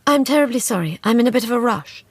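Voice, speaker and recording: A charming young woman spy, quick-witted and breezy, coaxing people with bright persuasive talk, as a woman reader puts her on. Her voice lifts a little above the narration. Dry and close to the mic. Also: on the high side, lively intonation, husky, no echo, voice pushed up.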